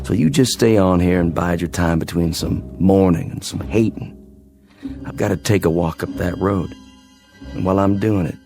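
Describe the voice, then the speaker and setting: Gravelly, low-voiced weary soldier. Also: male voice, soft background music. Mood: firm, reflective. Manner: plain-spoken, polite, halting. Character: weary wounded soldier, a sergeant, courteous but resolute. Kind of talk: radio drama acting.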